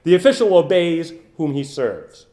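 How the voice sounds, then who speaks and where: A man's voice: on the high side, very animated, normal speed, warm tone. A man re-creating a formal 1890s political speech as an orator, emphatic and declamatory, posing rhetorical questions.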